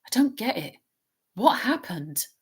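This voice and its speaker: A woman's voice: a little high, big pitch swings, a little slow, husky, voice pushed up, full character voice. Woman voicing a shaken, confused teenage boy. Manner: urgent, questioning.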